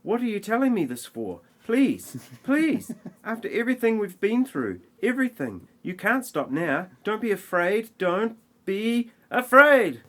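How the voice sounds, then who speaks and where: A man's voice: high-pitched, very animated, a little slow, hardly any pauses, sharp tone, pitched above the performer's own voice, full character voice. A man voicing a bossy, pleading woman in a spoof film audio play, with a thin, strained, exaggerated urgency. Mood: urgent pleading.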